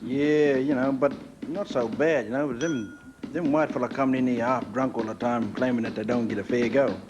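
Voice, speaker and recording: A man's voice: mid-range voice, moderate pace, lo-fi sound, noisy background, close to an everyday voice. A man playing a patronising, cocky job office official in a satirical radio play, matey and slangy.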